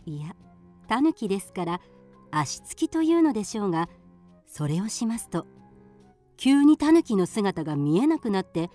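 A woman's voice: mid-range voice, sing-song, moderate pace, pauses often, warm tone, soft background music. A woman reading scripted storybook narration for a radio drama.

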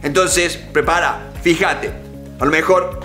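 A man, coaching viewers on negotiation straight to camera in an emphatic, animated way. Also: a little high, slow, faint music behind. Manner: emphatic, animated, gestures with hands. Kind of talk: direct-to-camera coaching talk. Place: home office with bookshelves and art.

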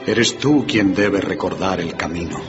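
An actor playing a solemn, knowing ghostly spirit guide in a radio drama. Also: male voice, low-pitched, measured pace. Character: solemn ghostly spirit guiding an old man through memories. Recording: muffled radio drama with prominent music.

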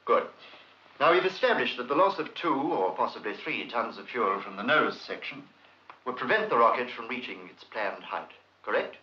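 A man's voice: medium pitch, animated, normal speed, breathy edge. An actor playing an urbane, sly British male investigator who questions politely but insistently in 1950s film style. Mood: politely probing. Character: probing, sly, urbane male investigator posing as chatty acquaintance. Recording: dull, muffled 1950s film soundtrack.

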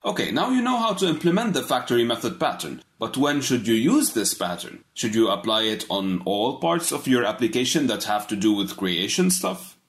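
A man narrating a scripted programming tutorial, explaining code step by step in a measured way. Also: medium pitch, sing-song.

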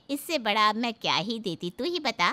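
Woman as elderly cartoon aunt, sharp-tongued, sarcastic, forceful, very animated pitch. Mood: taunting. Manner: taunting, sarcastic, drawn-out delivery. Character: sharp-tongued, grumbling elderly aunt-in-law, bossy and taunting. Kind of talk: animated Hindi family moral story acting.

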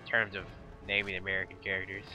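A man's voice: low-pitched, completely flat delivery, fast, muffled recording, music in the background. A man with a nasal, congested voice rambling and swearing while he commentates his game playthrough. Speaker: gamer commentator. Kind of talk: casual let's play commentary.

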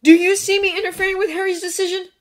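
A high, very animated teenage boy wizard acted by a woman for an audiobook. He is sarcastic and stubbornly loyal, with a defiant, teasing edge and a quick temper. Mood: angry, defensive.

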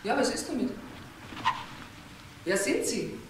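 An actress playing a suspicious, wounded wife who runs a restaurant, demanding and questioning in a crime radio drama. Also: female voice, on the high side, big pitch swings, takes it slowly. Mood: alarmed. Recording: roomy sound with faint noise.

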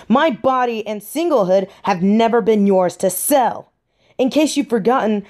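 Woman acting a slow-talking, defiant young biker girl. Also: a little high, dark tone. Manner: emphatic, dramatic delivery. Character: defiant, foul-mouthed young biker girlfriend, fiercely loyal. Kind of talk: scripted audio roleplay acting.

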